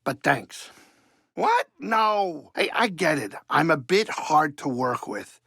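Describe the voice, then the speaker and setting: An actor playing a cranky but soft-hearted older alien saloon keeper in a video game, gravelly, wisecracking and folksy. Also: male voice, on the high side, slow pace, dry recording, studio quality, heavily acted. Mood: flustered, defensive. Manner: grumbling, wisecracking, excitable, folksy. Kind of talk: video game character dialogue.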